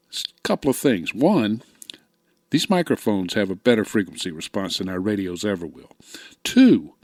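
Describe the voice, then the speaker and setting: A middle-aged or older man giving an informal, plainspoken microphone demo in short, deliberate phrases, speaking close to a dynamic microphone. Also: on the low side, darkish tone, no echo. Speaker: amateur radio hobbyist reviewing microphones. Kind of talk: informal explanatory product demo narration. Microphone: close dynamic podcast or studio microphone.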